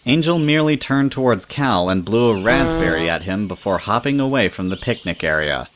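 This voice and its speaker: A man's voice: lowish voice, lively intonation, brisk, a bit bright, a little hiss or hum. A man reading scripted storybook narration as the narrator of an audio tale.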